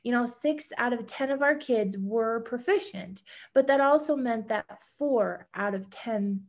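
A woman's voice: fairly high pitch, measured pace. A woman, a teacher speaking earnestly on a video call. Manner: earnest, grateful. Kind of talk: casual video call panel. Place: home room with yellow walls. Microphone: laptop webcam on video call.

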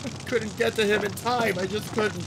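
Actor as a flustered high-voiced policeman. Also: steady tone, heavy background noise, close to an everyday voice. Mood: flustered, shaken. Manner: flustered, halting. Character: flustered policeman calling for backup. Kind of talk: audio drama acting.

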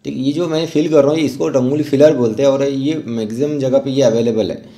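A man narrating an informal step-by-step art tutorial as the instructor, speaking in a halting, instructive way. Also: mid-range voice, steady tone, warm tone.

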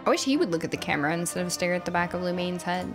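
A woman streaming a game with casual, playful, animated commentary, exclaiming and teasing as she goes. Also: on the low side, racing. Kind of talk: casual live gameplay commentary. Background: game music.

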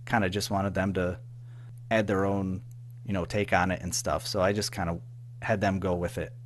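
A man with a fairly deep voice chats as an interview guest. He speaks in a halting way, repeating words and letting phrases trail off. A faint hum sits under the recording. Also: level tone. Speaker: audio drama writer-producer, interview guest. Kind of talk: casual interview chat. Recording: faint background noise and hum.

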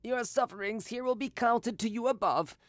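A woman's voice: a little high, moderate pace, dry recording, clean studio sound, voice pushed up, close to an everyday voice. A woman voicing a falsely pious male poisoner. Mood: solemn prayer. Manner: hushed, solemn praying.

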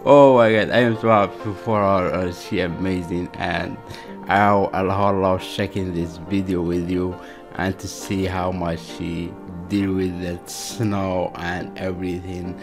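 A man from Algeria in his thirties hosts a reaction video, gushing in simple English and repeating his exclamations. His voice sits low. He sits close to a webcam mic in a small room. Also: fairly even intonation, measured pace, music in the background. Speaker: reaction channel host. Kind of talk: excited reaction commentary. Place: small indoor room before a dark backdrop.

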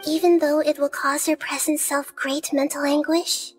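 A woman voicing a small, wise child goddess in a video game, soft, girlish, measured and polite. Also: sits high, little pitch movement, soft background music, natural voice. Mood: gentle, solemn.

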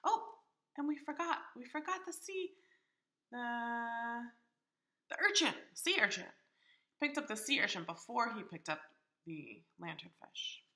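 A woman reading a picture book aloud to young children in an expressive, deliberate, teacherly way. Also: a little high. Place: indoors in a hallway of a home. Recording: echoey room in a house.

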